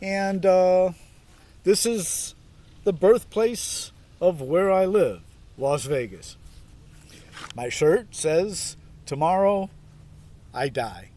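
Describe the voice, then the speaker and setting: A man reading aloud and adding informal reflections in a halting, wry, laid-back way, as host of a meditation video. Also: sits high, lively intonation, warm tone. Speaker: self-styled priest, meditation video host. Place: outdoors in a park.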